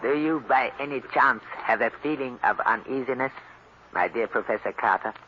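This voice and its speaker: An actor playing an enigmatic, courteous foreign professor in an old radio drama, with formal, measured and deliberate phrasing. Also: male voice, medium pitch, over music, lightly acted. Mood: foreboding. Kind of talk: 1940s radio drama acting. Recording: noisy, dull old radio recording.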